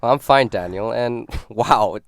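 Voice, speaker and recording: A man's voice: medium pitch, a little slow, no echo. A man hosting a morning radio show in a studio, interviewing a guest in an upbeat, friendly way. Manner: upbeat and friendly, asking questions.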